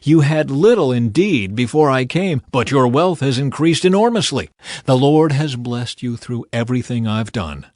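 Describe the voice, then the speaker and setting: A man's voice: mid-range voice, lively intonation, normal speed, a little mellow, dry recording, studio quality, natural voice. A man voicing an earnest, hardworking herdsman in an emphatic Bible audiobook reading. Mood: earnest, insistent.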